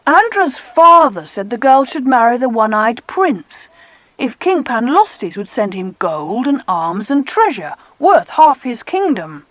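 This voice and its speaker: High, animated, excitable British child. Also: female voice, a little slow, no room sound, low-quality recording. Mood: eager storytelling. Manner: eager, expressive storytelling. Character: excitable, well-spoken children on an island holiday adventure. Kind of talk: dramatized children's audiobook acting.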